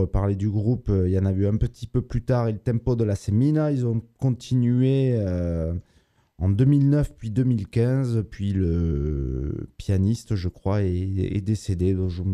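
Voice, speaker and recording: A man co-hosting a small radio show, introducing a record in a relaxed, hesitant way with many pauses and restarts. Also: fairly low pitch. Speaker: radio co-host presenting records. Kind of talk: casual radio chat.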